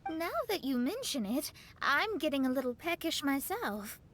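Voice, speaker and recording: A woman playing a sweet, gentle young girl in a video game, polite and mild in manner. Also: high voice, very animated, normal speed. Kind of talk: animated video game acting.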